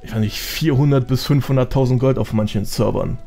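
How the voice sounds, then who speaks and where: A man's voice: medium pitch, brisk, creaky, dark tone, faint music behind. A young man as a podcast guest, telling anecdotes in a casual, slangy way. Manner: slangy, chatty.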